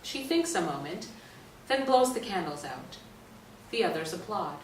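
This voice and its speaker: A woman's voice: lowish voice, big pitch swings, a little slow. A woman reading stage directions as the narrator of a staged play reading. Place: indoor stage reading, mic at a distance. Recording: roomy distant stage recording, faint noise.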